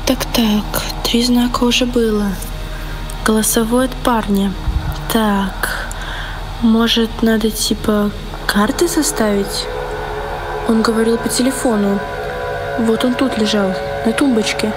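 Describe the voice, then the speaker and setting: Woman as unsettled teenage girl, halting self-talk, very slow, audio drama, loud music. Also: on the high side, fairly even intonation, rough recording. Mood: puzzled, searching. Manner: halting, thinking aloud. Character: unsettled teenage schoolgirl losing her mind, muttering to herself.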